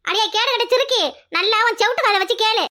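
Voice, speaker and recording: Woman playing a very shrill, outspoken young village wife. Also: fast talker, a bit bright. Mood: furious. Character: outspoken young village wife, dutiful, protective, quick-tempered. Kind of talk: Tamil family drama dialogue.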